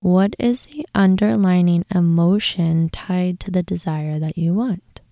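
Female meditation host. Slow, lowish voice, gentle and hushed at times, soothing instructional read. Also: dry recording, studio quality.

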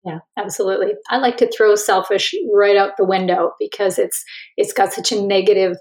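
A woman's voice: medium pitch, level tone, fairly quick, a little mellow, low-quality recording. Reflective woman author talking about her work. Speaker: author and coach, interview guest. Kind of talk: conversational interview.

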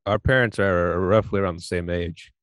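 Easygoing young man, lowish voice. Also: steady tone, normal speed, no echo. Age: 20s. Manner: easygoing, joking, laughs often. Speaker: podcast co-host. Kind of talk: casual chat.

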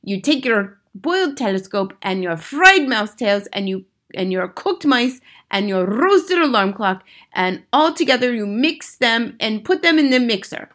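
A woman's voice: fairly high pitch, sing-song, a little slow, fairly bright tone, pitched above the performer's own voice. A woman voicing an imperious witch leader from a storybook, bossy, scornful and relishing every instruction. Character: imperious, triumphant Grand High Witch, bossy villain. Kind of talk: storybook character read aloud.

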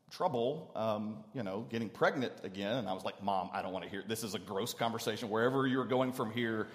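Guy from tennessee preaching in a big echoey hall, medium voice, earnest and dramatic, pauses for effect. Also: mid-range voice. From Tennessee, United States. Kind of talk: storytelling sermon with dramatic scripture recitation. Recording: echoey hall with long reverb.

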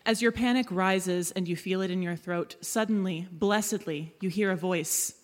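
A woman's voice: on the low side, normal speed. A woman giving dramatic scripted narration as the presenter of a live audio drama. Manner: dramatic, theatrical storytelling. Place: live stage in a hall. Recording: echoey hall, live stage.

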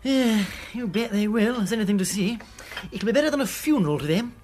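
A man playing a gossipy, foppish upper-class English gentleman in a radio drama, full of old-fashioned slang. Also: high-pitched, animated, fairly quick. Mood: gossipy, jovial. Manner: slangy, tagging remarks with "what?".

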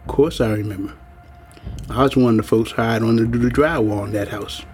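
A man's voice: on the low side, fairly quick, darkish tone, soft background music, natural voice. An actor playing a world-weary old working-class man in an audio drama, speaking in a folksy, plain-spoken, ominous way. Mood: grave, reflective.

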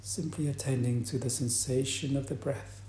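Male meditation teacher, calm, unhurried delivery, long pauses, echoey room, faint background noise. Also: mid-range voice. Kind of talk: guided meditation.

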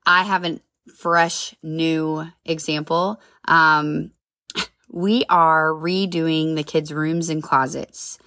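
A woman's voice: deep voice, steady tone, slow pace, pushed and bright, no echo. Congested, chatty woman podcaster. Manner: chatty, upbeat, rambling with asides. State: congested, a little nasal. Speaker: podcast host. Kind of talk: casual solo podcast chat.

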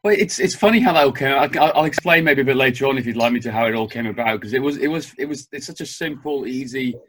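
A man's voice: mid-range voice, quick pace, fairly bright tone, breathy edge. A man talking as a podcast guest in a chatty, rambling way, often restarting his sentences, from a guest room in a farmhouse. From Wales. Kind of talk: casual conversational interview.

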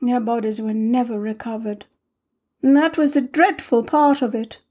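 A woman narrator plays a young girl from a storybook, confiding a tragic tale. Her voice is lifted high and falters dramatically, with careful pauses for effect. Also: dark tone, close to an everyday voice. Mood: grave, dramatic. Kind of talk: storybook character voice in audiobook reading.

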